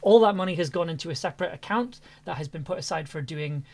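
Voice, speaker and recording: A man hosting a stream, casually addressing viewers in a halting way, repeating words as he finds his phrasing. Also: on the high side, vocal fry, darkish tone. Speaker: host and audio producer.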